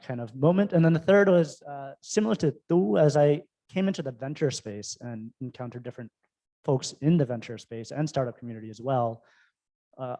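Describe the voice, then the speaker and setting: Mellow man speaking casually on a panel. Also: medium pitch. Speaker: venture fund investor on a panel. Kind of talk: informal panel talk.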